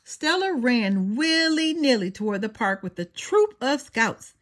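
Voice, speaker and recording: Woman, children's read-aloud narrator. High voice with very lively, expressive delivery. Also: measured pace. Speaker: storyteller reading a children's picture book aloud.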